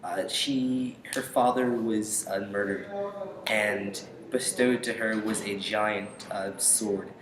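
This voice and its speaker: A young man giving casual interview answers in a hesitant, halting way, pausing often. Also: fairly low pitch, fairly even intonation, crowd noise. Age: young adult. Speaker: interview guest, aspiring voice actor. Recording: echoey room with faint noise.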